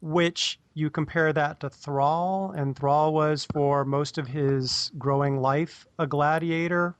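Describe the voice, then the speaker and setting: A man's voice: a little high, dry recording. A man talking casually as a podcast panelist, acting out bits of imagined dialogue to make his points.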